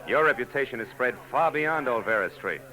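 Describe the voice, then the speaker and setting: An actor playing a sardonic hardboiled private detective who also narrates, in a 1940s radio drama. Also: male voice, mid-range voice, expressive, brisk, bright, forceful tone, rough recording. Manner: dry, sardonic. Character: wisecracking hardboiled male private eye.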